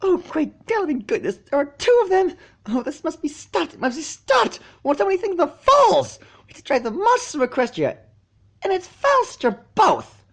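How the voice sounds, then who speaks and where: A man's voice: very high-pitched, big pitch swings, moderate pace, creaky, fairly bright tone, pitched above the performer's own voice, heavily acted. A man voicing a hysterical, screeching female unicorn pony in a melodramatic, fearmongering way. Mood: horrified, alarmist.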